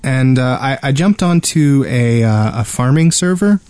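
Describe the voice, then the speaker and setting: A man co-hosts a podcast in loose, casual talk. His voice is medium in pitch and wryly joking, recorded very dry. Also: expressive, unhurried, a little mellow, clear, resonant tone, no room sound. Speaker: podcast co-host. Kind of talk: casual chat.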